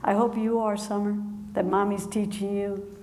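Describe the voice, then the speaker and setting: A woman author talking with an audience at a book event. She laughs often and speaks in halting restarts. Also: mid-range voice, level tone, darkish tone. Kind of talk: conversation at a public book event. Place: large hall with an audience. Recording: echoey hall with faint noise.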